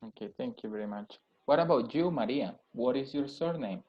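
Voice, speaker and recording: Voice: mid-range voice, animated, normal speed. Examiner asking brief questions, dull audio. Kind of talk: conducting speaking exam.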